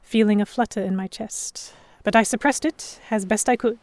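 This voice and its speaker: A woman narrating in first person as a composed captive in a historical pirate audio drama, with measured, dramatic storytelling. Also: mid-range voice, steady tone, a little mellow, dry recording, close to an everyday voice. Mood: measured, tense. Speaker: narrator and lead actor.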